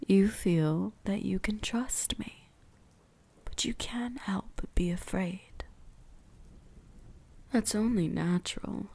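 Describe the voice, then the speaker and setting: A breathy, husky whisper with a creaky edge, dark and soft. It is a possessive vampire huntress played by a woman in an ASMR roleplay, slowly teasing and commanding her prey with quiet menace. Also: mid-range voice, full character voice. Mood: hypnotic, commanding.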